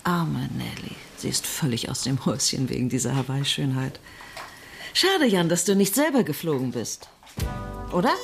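A woman's voice: rumbling low, very animated, moderate pace, vocal fry, a little hiss or hum, full character voice. A woman playing a sceptical companion who comments on what she overhears, in a radio play. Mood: dry, concerned.

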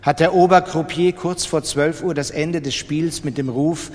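A man reads literary fiction in standard German, with dramatic delivery. His voice sits a little high for a man and is carried by a long reverb. It is a radio narration in the first person. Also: steady tone, moderate pace, a little mellow. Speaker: literary reader in a radio production. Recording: studio reading with long reverb.

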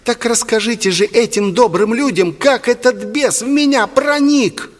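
Overwrought teen boy, high quavering falsetto, defiant, fervent, audiobook story. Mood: bold defiance. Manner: drawn-out, fervent outcries. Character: frightened, defiant teenage village boy turning accuser.

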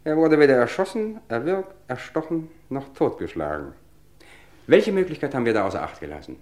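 An actor playing a smug, cultivated murderer who taunts police with measured, theatrical politeness in an old German radio crime drama. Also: male voice, medium pitch, sing-song, darkish tone, natural voice. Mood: smug, mocking.

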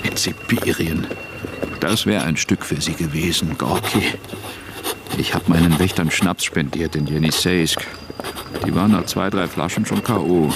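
A penniless, wily runaway socialist for radio drama. His voice is very low and his phrases come in dramatic fragments. Clear background noise rattles along with him. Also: unhurried. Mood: weary, narrating. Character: desperate, broke male socialist fugitive, pleading and wily. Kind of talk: German radio drama acting.

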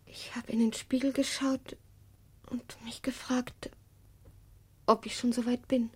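A young widow in a radio drama, with a breathy, slightly high voice. She sounds vulnerable and intimate, questioning and softly teasing, very close and dry. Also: level tone, unhurried, no room sound. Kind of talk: German radio drama acting.

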